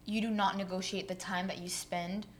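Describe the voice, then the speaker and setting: A woman's voice: mid-range voice, level tone, moderate pace, a little hiss or hum. Young woman in casual, emphatic conversation. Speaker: conversation participant. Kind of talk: casual group chat.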